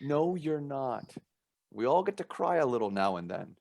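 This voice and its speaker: An actor playing a protective, teasing older brother in a radio play, speaking in an emphatic, insistent way. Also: male voice, mid-range voice, big pitch swings, moderate pace, vocal fry, a little mellow. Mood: soothing, firm. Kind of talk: radio drama acting.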